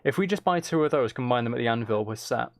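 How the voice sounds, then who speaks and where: A man with a medium-pitched, gravelly edge to his voice talks himself through a video game. He speaks casually in short, muttered phrases, thinking out loud as he plays. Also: expressive, fast talker, dry recording. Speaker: streamer playing a video game. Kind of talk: casual gameplay commentary, thinking aloud.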